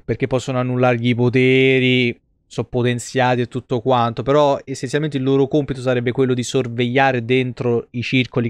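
A man's voice: mid-range voice, smooth, no echo. A man in his thirties giving casual, sarcastic, foul-mouthed commentary as a video game streamer. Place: small room at home.

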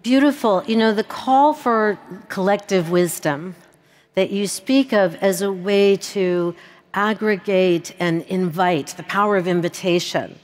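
A middle-aged woman moderating a conference panel on a large stage, measured and reflective, pausing on fillers, into a headset mic. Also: medium pitch, a little mellow.

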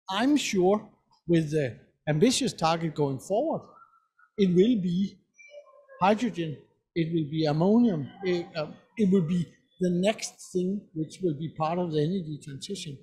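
A middle-aged man with a Danish accent speaks as a panelist at an indoor conference stage, earnest and emphatic, doubling back on his words. His voice sits fairly high for a man and has a husky edge, picked up by a stage mic. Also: dark tone, rough recording. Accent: Danish, from the west coast. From Denmark.

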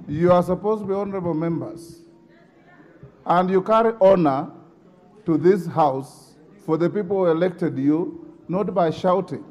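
A middle-aged man chairing a rowdy parliament session, sternly calling for order and lecturing members. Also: fairly high pitch. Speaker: parliamentary speaker. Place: large parliament chamber. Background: noisy chamber of shouting members.

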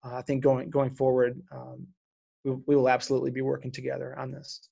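A man speaking in a webinar about city trees, halting and full of restarts and fillers as he answers questions over a video call. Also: mid-range voice, completely flat delivery, vocal fry, dark tone, breathy edge, no room sound, lo-fi sound. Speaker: city urban forestry official. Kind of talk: unscripted webinar presentation and Q&A.

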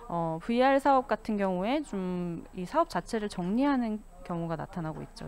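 A middle-aged woman giving a formal, measured expert presentation on a panel, into a handheld microphone through a mask. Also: mid-range voice, darkish tone. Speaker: panelist, consulting company CEO. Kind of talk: formal presentation on industry trends. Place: stage at an expo panel, indoors. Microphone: handheld microphone, speaking through a face mask.

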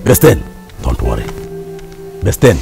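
A man voicing a calm, slow-talking older elder, in a comedy skit. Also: very deep voice, gravelly, pitched below the performer's own voice, heavily acted. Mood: reassuring. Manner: slow, soothing reassurance. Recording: music bed behind.

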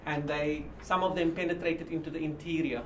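Older male lecturer, South African accent. Halting, explanatory delivery, recorded at a distance from the mic. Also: a little high, steady tone. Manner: halting, repeating words as he explains. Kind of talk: informal history lecture to a small class. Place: small indoor seminar room. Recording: distant mic with light background noise.

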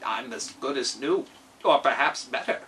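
A man voicing a calm, polite clockwork man from a storybook, gruff and measured. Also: mid-range voice, recorded from a distance, lightly acted. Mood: matter-of-fact. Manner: flat, measured, deadpan. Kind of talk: storybook character voice.

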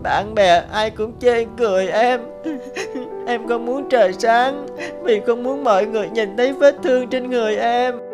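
A woman voicing a lonely, wounded little child in an animated fairy tale, plaintive and tearful. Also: fairly high pitch, moderate pace, over music, natural voice. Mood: sorrowful.

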